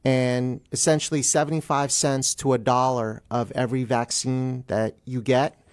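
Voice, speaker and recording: A man speaking as a guest attorney in a radio interview, explaining plainly in halting phrases. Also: mid-range voice, level tone, smooth, no echo.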